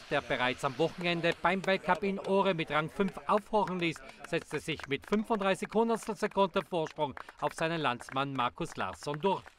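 A man reading a scripted sports news report in a measured, formal way. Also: on the high side, a bit bright.

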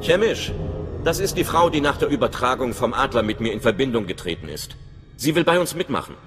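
A man's voice: medium pitch, big pitch swings, a little fast, fairly bright tone, echoey room. A man playing a nervous, friendly young undercover informant in a video game, chatty and informal with a touch of anxiety. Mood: eager, chatty. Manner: chatty, informal, slightly anxious. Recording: clear music behind the voice.

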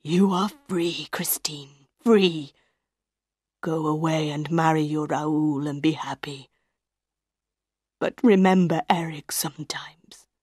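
A woman voicing an ugly, tormented, lovesick man in an audiobook, in a slow, hushed, breathy whisper, menacing yet pleading. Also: low voice, fairly even intonation, dry recording, clean studio sound, voice pushed down, full character voice. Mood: broken, tearful wonder. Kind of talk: audiobook character voice.

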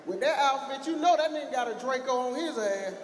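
A young man doing a profane stand-up set, roasting the crowd and shouting punchlines into a handheld mic on a theater stage. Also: very high-pitched, expressive, brisk, slight background noise. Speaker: stand-up comedian. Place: large theater stage with an audience. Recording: echoey hall with faint crowd ambience.

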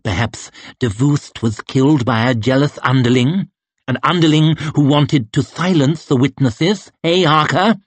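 A man's voice: unhurried, dry recording, close to an everyday voice. A man doing a sneering, crippled torturer. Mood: menacing. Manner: low, quiet, cutting menace. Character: bitter crippled male torturer, cold and sneering.

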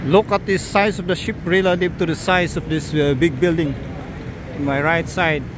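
A man casually narrating his walk along a cruise dock while filming, speaking haltingly with many fillers and non-native grammar. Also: on the high side, steady tone. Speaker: travel videographer. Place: outdoors at a busy city dock. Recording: loud outdoor traffic noise.

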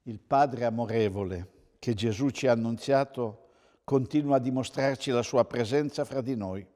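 A man, a pastor reading a sermon in a measured, solemn manner. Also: medium pitch, darkish tone. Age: 60s. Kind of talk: sermon read from notes. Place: indoors, plain room with reverb, seated. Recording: reverberant room.

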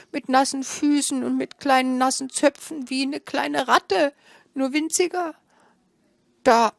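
An older woman storyteller, telling a fairy tale live in a theatrical, expressive manner into a handheld microphone. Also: sits high, creaky, no room sound, clean studio sound. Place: small indoor café room with a seated audience. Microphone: handheld microphone held close.

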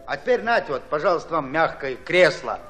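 A hot-tempered Red Army commander for a radio drama. His voice sits high and swings widely, with blunt, folksy orders and retorts. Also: big pitch swings, brisk, a bit bright, soft background music. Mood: indignant, mocking. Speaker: actor in an audio drama. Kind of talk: Soviet-style radio play acting.

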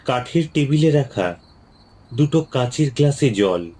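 A man narrating a ghost story in a measured, suspenseful storytelling style. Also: mid-range voice, lively intonation, dark tone, husky, poor audio.

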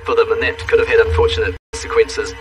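Animated fast-talking male expert. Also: medium pitch. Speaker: expert interviewee. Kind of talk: documentary interview commentary. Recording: distant mic with music.

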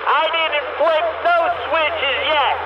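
A man's voice: very high voice, steady tone, slow pace. A man talking casually over a CB radio transmission. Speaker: CB radio operator. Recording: muffled CB radio with loud noise.

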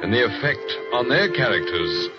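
An actor playing a ship surgeon who narrates eerie tales in a 1940s radio drama, measured and brooding, on a dull old broadcast with music. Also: male voice, medium pitch, sing-song, natural voice.

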